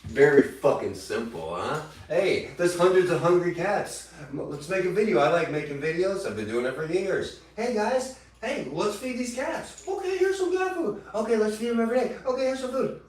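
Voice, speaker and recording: A man's voice: big pitch swings, normal speed, few pauses. Man ranting, echoey and far off. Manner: profane, taunting, mocks critics in shrill put-on voices. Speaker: livestreamer. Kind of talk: angry livestream rant. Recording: echoey room, voice from far off the mic.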